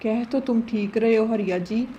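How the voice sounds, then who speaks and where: A woman's voice: fairly high pitch, normal speed, dark tone, muffled recording, a little hiss or hum, natural voice. A woman voicing a mean, complaining aunt bird in a Hindi cartoon, nagging her husband. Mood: resentful.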